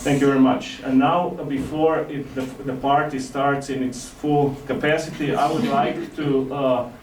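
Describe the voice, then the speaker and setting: A man with a Slovenian accent giving a formal reception speech as ambassador, halting with frequent "uh" pauses. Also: medium pitch, flat delivery. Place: large hotel reception hall. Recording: echoey hall from far off with room noise.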